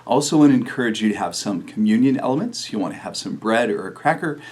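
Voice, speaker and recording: A middle-aged male pastor from New Mexico giving a recorded video sermon, telling a story in a relaxed way with fillers and small chuckles. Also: on the low side, quick pace, breathy edge. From New Mexico, USA.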